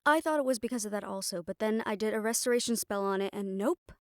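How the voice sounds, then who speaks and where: A woman's voice: medium pitch, fast, dry recording, studio quality, lightly acted. A woman playing a stern, exasperated young siren banshee spellcaster in a roleplay audio drama, talking conversationally and emphatically. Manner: conversational, sighing, chiding, emphatic.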